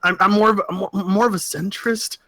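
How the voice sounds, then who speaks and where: A man's voice: sits high, steady tone, brisk, bright, forceful tone, no room sound. A man from Oregon co-hosting a casual podcast chat, rambling and restarting his sentences with frequent laughs. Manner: rambling, self-interrupting, laughs a lot. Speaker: podcast co-host.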